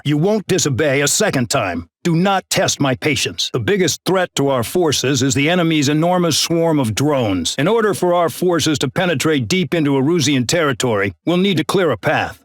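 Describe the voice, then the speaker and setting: An actor playing a harsh middle-aged colonel who commands a penal squadron, barking orders and threats with open contempt in a video game. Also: male voice, fairly high pitch, dry recording, clean studio sound, natural voice. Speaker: commanding officer giving mission briefings.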